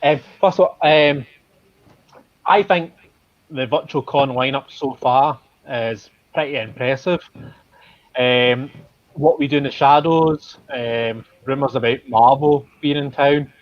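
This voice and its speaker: A man's voice: mid-range voice, slow, no echo. Glasgow guy on a video call, hesitant, lots of ums, dull dry sound. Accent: Glaswegian. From Glasgow, Scotland. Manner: self-deprecating, hesitant with many ums. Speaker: guest. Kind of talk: casual livestream chat. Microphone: video call webcam.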